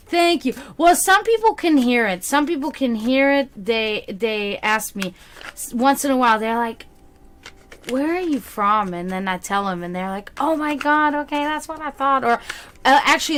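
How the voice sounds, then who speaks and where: A woman's voice: sits high, expressive, moderate pace, slight background noise. Chatty woman, native German speaker. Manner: chatty, rambling, reads chat aloud. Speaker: psychic reader streamer. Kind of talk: casual livestream chat.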